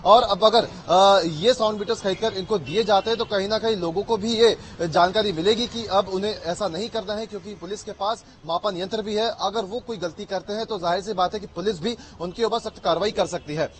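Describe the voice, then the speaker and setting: A male correspondent giving unscripted live news analysis, halting often with hesitations. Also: sits high. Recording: live link with faint background noise.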